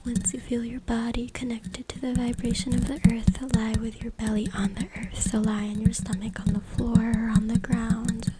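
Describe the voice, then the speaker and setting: A woman whispering breathily and softly in an ASMR style as she reads aloud, close to a USB microphone. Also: a little high, level tone, moderate pace, hardly any pauses. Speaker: ASMR content creator. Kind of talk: ASMR whispered reading from a book. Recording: very noisy close USB mic, clicks. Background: loud noise, tapping and scratching clicks.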